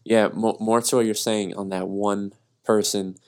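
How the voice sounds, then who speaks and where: A man's voice: lowish voice, flat delivery, measured pace, a little mellow. A man with an American accent sharing observations in casual conversation.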